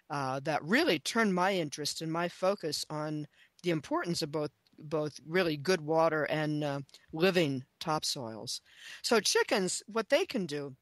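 A woman's voice: deep voice, no room sound. A woman speaking as an expert guest in an interview, talking with enthusiasm and emphasis, repeating phrases and posing questions. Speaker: guest, author and chicken expert.

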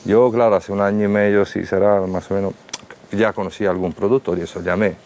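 A middle-aged man with a very deep, low voice speaks on a TV studio panel through a lapel mic. He tells his stories with lively energy, acting out quoted exchanges. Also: brisk. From Italy. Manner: animated storyteller acting out quoted dialogue. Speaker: restaurateur guest. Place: TV studio set.

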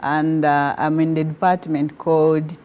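A woman with a Ugandan accent, an education ministry official, calmly explaining things as a guest on a TV talk show. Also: low-pitched, unhurried, a little hiss or hum. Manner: calm, explanatory. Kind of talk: TV studio interview. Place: TV studio set.

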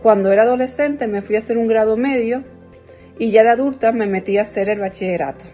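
A woman's voice: on the high side, fast, dark tone, soft background music. A woman in her thirties, a former student, speaking plainly and matter-of-factly. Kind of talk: casual testimonial.

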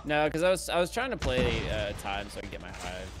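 A man's voice: lowish voice, big pitch swings, brisk, over music. A man bantering casually as a gaming teammate, slangy, grumbling and skeptical.

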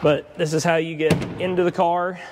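A man, walking through a car warehouse and explaining cars in a relaxed way with lots of fillers. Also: fairly high pitch, moderate pace. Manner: relaxed, knowledgeable, many fillers. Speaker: car salesman. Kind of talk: walkthrough explanation. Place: large indoor car warehouse. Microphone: clip-on lapel mic. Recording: faint background noise.

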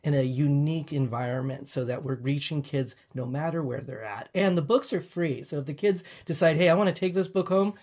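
A man's voice: fairly high pitch, brisk, hardly any pauses, a little mellow. A man speaking as a nonprofit guest on a video call, explaining things earnestly. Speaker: nonprofit representative, interview guest. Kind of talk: earnest explanatory interview talk.

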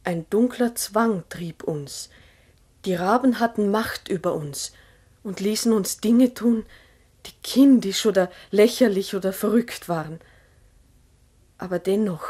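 An actress playing a farmer's wife who earnestly recounts strange happenings in a measured, literary 1960s German radio play monologue. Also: female voice, medium pitch, a little mellow, breathy edge. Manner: deliberate, literary, earnest storytelling.

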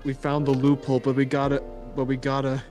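A man's voice: mid-range voice, completely flat delivery, a little fast, a little mellow. A man chatting casually as he reacts to a game in a group voice chat. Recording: voice chat over game music. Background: game music.

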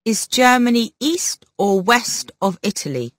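A woman asking questions for a textbook audio track in slow, clear speech. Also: a little high, animated, no room sound, studio quality. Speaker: recorded model speaker.

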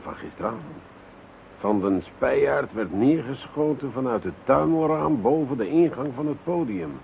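An actor playing a short-tempered, blustering police commissioner with a gruff, impatient manner in an old radio play. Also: male voice, medium pitch, expressive, normal speed, narrow, tinny audio. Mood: gruff, flustered. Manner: gruff, impatient, stammering when flustered. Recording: noisy, thin old radio recording.

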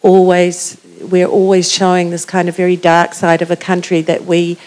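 A woman's voice: lowish voice, completely flat delivery, moderate pace, darkish tone. A woman with a New Zealand accent, making a long musing comment from the audience with many ums. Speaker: audience member.

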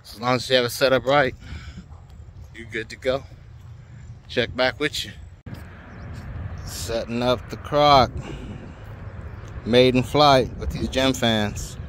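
A man's voice: medium pitch, slow pace, long pauses, slight background noise. An older man chatting casually as he walks through an open field at dusk, filming himself with a phone held at arm's length. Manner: relaxed, chatty, colloquial. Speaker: drone hobbyist vlogger. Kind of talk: casual walk-and-talk vlog.

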